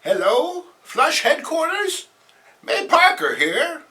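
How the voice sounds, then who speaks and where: A man voicing a sweet, fussing elderly aunt in a comic book reading, doting and theatrical with drawn-out words. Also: extremely high pitch, wildly swooping pitch, sharp tone, distant mic, pitched above the performer's own voice, heavily acted. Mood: chirpy greeting.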